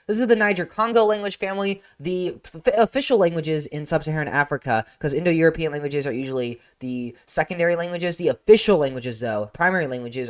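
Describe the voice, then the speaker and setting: A young man explaining a review lesson in a casual, energetic way, with offhand asides. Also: a little high. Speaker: teacher.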